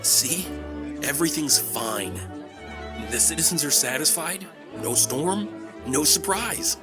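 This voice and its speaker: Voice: medium pitch, animated, takes it slowly, over loud music. A performer voicing a royal pony father, earnest and formal, in a cartoon drama. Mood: reassuring. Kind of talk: animated fan drama voice acting.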